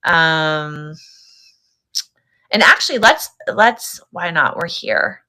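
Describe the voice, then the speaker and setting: A woman's voice: sits low, animated, takes it slowly, pushed and bright. A woman hosting a casual live sale stream, chatting with viewers and thinking aloud as she goes. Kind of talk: casual livestream chat with viewers.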